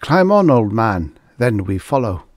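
A man's voice: on the low side, big pitch swings, unhurried, no room sound, studio quality. A man voicing a bossy, visionary primitive man in a storybook, commanding and declamatory.

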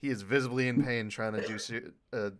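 A man's voice: mid-range voice, steady tone, a little fast. A man speaking casually as a panelist in a Q&A, rambling with enthusiasm. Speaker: panelist, video editor for a fan dub group.

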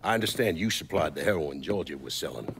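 An actor playing a blunt, weary middle-aged mob boss in a dramatic video game. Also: male voice, a bass voice, expressive, fast, gravelly, fairly bright tone, slight background noise, full character voice. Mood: bitter, brooding.

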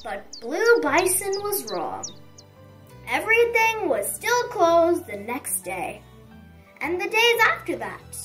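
A woman narrates a picture book for young children in a high, very animated voice. She takes her time, leaning on words expressively. The mic sounds a little distant and soft music plays behind her. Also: takes it slowly. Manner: expressive, emphatic storytelling. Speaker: children's storybook reader. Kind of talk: dramatic read-aloud narration for young children.

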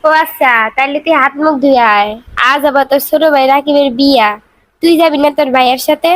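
Woman voicing a high-pitched cartoon village mother. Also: steady tone, fast, no echo, lightly acted, poor audio. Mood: casually questioning. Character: village mother of a grown migrant son. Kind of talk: cartoon voice acting.

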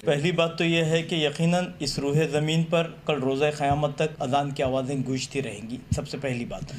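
Man, thirties, high voice, methodical press statement, noisy room. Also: fairly even intonation, moderate pace. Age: 30s. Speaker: political party representative. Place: small office room, seated at a table. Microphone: press microphones on the table. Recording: clearly noisy room recording.

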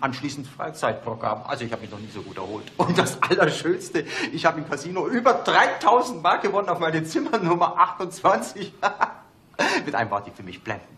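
A work-shy, gullible policeman in a radio drama. His voice is fairly high and swings widely in pitch, very expressive, over faint noise. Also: a little fast. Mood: breezy, smug. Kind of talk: German radio play acting.

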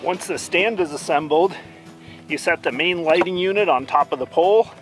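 A man in his forties giving an enthusiastic, steady walkthrough of camping gear, recorded outdoors at a campsite. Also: fairly high pitch, normal speed, soft background music. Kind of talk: product review. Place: outdoors in a dry juniper woodland campsite.

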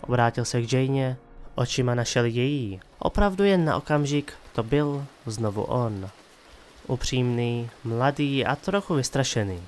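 A man's voice: mid-range voice, slight background noise. A man giving scripted dramatic narration of a fantasy story as the narrator of an audio drama. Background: music.

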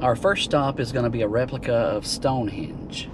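A man, giving a relaxed, easygoing tour of roadside sights outdoors, recorded on a camera mic. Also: medium pitch, normal speed, heavy background noise. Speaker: travel vlogger. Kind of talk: casual tour narration.

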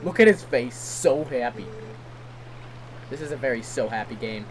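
A man's voice: medium pitch, animated, moderate pace, poor audio. A man giving casual, rambling gameplay commentary, self-deprecating and given to swearing. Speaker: let's play commentator. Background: game music.

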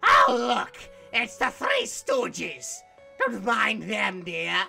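A haughty, scornful demon for a cartoon dub. The delivery swings widely and is piercingly sharp, with a shrill creak. It is grandly theatrical. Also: female voice, huge pitch swings, very slow, faint music behind, exaggerated voice. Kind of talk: animated comic dub acting.

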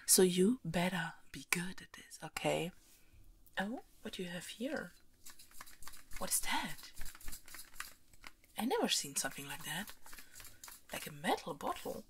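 A woman performing an ASMR roleplay as a haughty, teasing, demanding young princess, soft-spoken and close, often slipping into a whisper. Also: lowish voice, measured pace, long pauses, slight background noise. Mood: bossy, teasing. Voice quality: breathy, often dropping into whispers. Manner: playfully bossy, imperious, expressive.

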